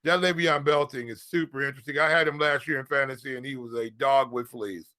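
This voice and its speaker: Bright-voiced man talking sports. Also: medium pitch, steady tone, fast, no echo. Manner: colloquial, emphatic, repeats phrases. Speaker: fantasy football podcast co-host and analyst. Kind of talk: casual sports talk.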